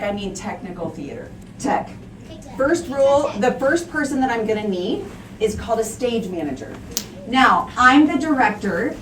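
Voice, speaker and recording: A woman drama teacher giving classroom instructions to children, explaining step by step in simple phrases and stressing key words. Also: fairly high pitch. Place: indoor school classroom. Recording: roomy echo, distant mic, faint noise.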